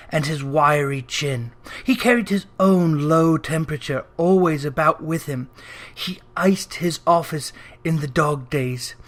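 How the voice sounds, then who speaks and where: A narrator reading a classic literary story aloud in a dramatic, emphatic way, with deliberate phrasing. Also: medium pitch. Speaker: audiobook reader.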